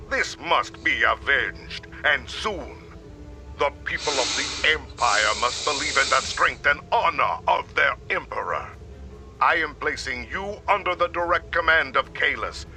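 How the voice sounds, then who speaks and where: An actor playing a stern alien warrior leader in a video game, giving orders with formal authority. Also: male voice, mid-range voice, pushed and bright, exaggerated voice. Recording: faint music behind the voice.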